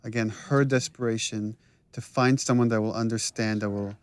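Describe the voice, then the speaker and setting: A man, a game director, explaining his creative choices in a thoughtful, measured commentary conversation. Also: fairly low pitch, fairly even intonation, clean tone, no echo.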